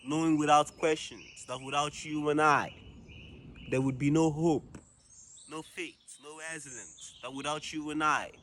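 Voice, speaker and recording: A man performing a reflective, dramatic spoken-word monologue in a solemn, deliberate manner with long pauses. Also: fairly high pitch, fairly even intonation, fairly bright tone, a little hiss or hum.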